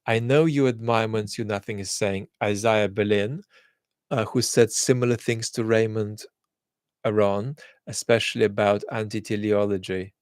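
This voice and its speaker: Lowish guy chatting through viewer questions, thoughtful, lots of ums and restarts, dry sound. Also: steady tone, darkish tone, clean tone, dry recording. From England. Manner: thoughtful, measured, frequent fillers and restarts. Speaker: host answering viewer questions. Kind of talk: casual chat.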